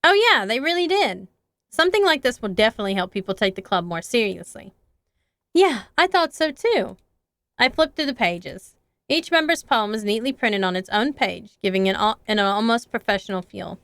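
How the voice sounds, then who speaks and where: A young woman streamer reads a visual novel aloud in an expressive, earnest way into a close microphone. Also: medium pitch, a little fast, dry recording.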